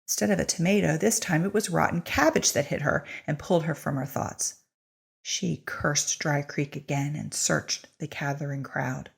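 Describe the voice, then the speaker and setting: A woman giving an expressive, wry scripted narration of a story in a husky, creaky voice. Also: sits low, moderate pace. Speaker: audiobook-style reader of a short story.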